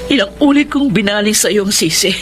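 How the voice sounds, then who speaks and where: A woman's voice: medium pitch, expressive, fairly quick, a little hiss or hum, close to an everyday voice. An actress playing a guilt-ridden, troubled mother in a Filipino radio drama, with an emotional, confessional delivery. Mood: pleading, guilty.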